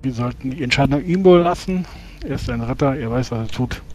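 Measured man role-playing, formal and hesitant. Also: medium pitch, darkish tone, slight background noise. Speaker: tabletop role-playing player. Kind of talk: in-character tabletop role-play.

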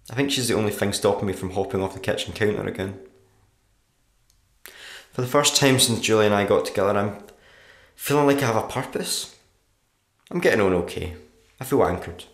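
A man acting in a radio play as a weary, morbidly deadpan struggling writer reading out his diary. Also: on the low side, echoey room, close to an everyday voice. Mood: matter-of-fact, then wry, reflective. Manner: deadpan, dry.

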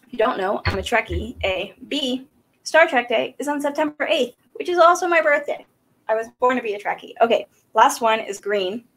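A woman's voice: fairly high pitch, rough recording. A woman in her thirties from South Carolina, hosting a casual whiskey tasting livestream from a small room at home, rambling and chatty with lots of fillers. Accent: Southern American. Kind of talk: casual live-stream chat. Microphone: desk microphone on a boom arm.

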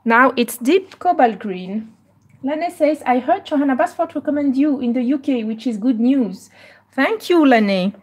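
Chatty Belgian woman with a higher voice. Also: animated, breathy edge. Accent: Belgian French. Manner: switches between French and English, English a little halting. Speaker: live-stream coloring host. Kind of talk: casual live-stream chat.

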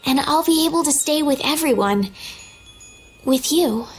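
A woman playing a gentle, earnest young princess in a fantasy game, speaking politely and a little hesitantly. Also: high-pitched, lively intonation, a little slow, a little hiss or hum. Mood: hopeful. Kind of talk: animated game voice acting.

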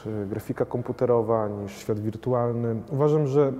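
30s guy, mellow voice, thinking out loud with lots of ums, big echoey gallery hall. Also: medium pitch, dull audio. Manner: thoughtful, halting, many fillers and restarts. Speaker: painter. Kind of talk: gallery interview. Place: large gallery hall with paintings. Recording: long hall reverb, faint noise.

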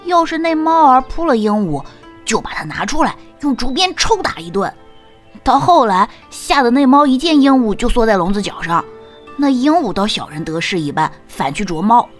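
A woman's voice: a little high, very animated, moderate pace, soft background music. A woman playing an eager, mischievous young boy in a historical audio drama. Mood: excited, gossipy.

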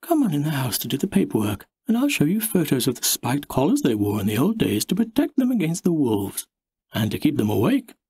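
A man voicing a bright, obliging woman who breeds dogs, explaining things helpfully in an audiobook story. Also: on the high side, wildly swooping pitch, fairly quick, slightly rough, no room sound, clean studio sound, voice pushed up, exaggerated voice. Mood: informative. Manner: bright, informative.

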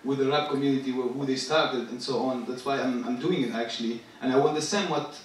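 A young man in his twenties from Syria, a rapper talking on a theater stage, halting and searching for words. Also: medium pitch, recorded from a distance, a little hiss or hum. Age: 20s. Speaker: rapper and guest artist. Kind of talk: stage talk. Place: large theater stage with live audience. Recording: echoey stage, picked up from afar.

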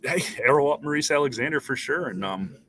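A man's voice: mid-range voice, animated, a little fast, a bit bright, slight background noise. A man in his thirties, a sports beat reporter, talking casually on a postgame live stream over a headset, rattling off stats with frequent restarts. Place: small indoor room.

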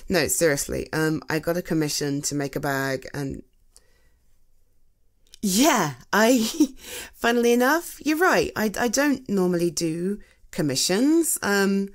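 A woman performs a supportive British friend in an intimate ASMR roleplay. Her voice sits a little low and moves very slowly. She speaks conversationally and haltingly, reassuring with a gentle, teasing touch. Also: lively intonation. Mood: casual, amused.

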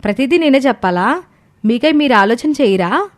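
A woman's voice: sits high, lively intonation, normal speed. A woman playing a shrewd, bossy young daughter-in-law in a family moral story, nagging and commanding. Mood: bossy, urging.

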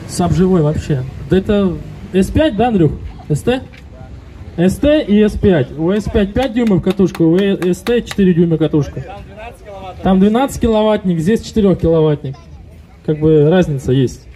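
A man in his thirties hosting a car audio contest outdoors on a handheld mic, loudly calling to the crowd and announcing results. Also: sits high, lively intonation, normal speed. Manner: loud and rousing, calling to the crowd. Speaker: event host.

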